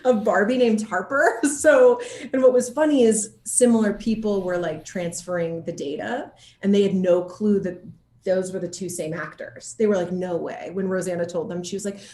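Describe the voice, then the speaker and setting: A woman's voice: medium pitch, moderate pace, dark tone. A woman chatting casually as an interviewed actor, bubbly and giggly, with playful slang, recorded in a room at home. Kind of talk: casual chat in a video interview. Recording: echoey room.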